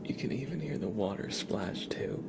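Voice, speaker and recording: Hushed, gravelly low-voiced man streaming ASMR. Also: expressive, moderate pace, heavy background noise. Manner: hushed, amused, chatting to viewers. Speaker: live streamer, ASMR host. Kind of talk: casual ASMR livestream chat, soft-spoken to half-whispered. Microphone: close ASMR microphone.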